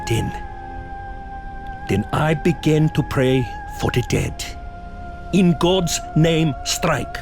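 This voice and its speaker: An actor playing a grave older professor who hunts vampires, speaking in solemn, deliberate oratory in a horror audio drama. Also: male voice, fairly high pitch, wildly swooping pitch, full character voice. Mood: solemn, commanding. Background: music.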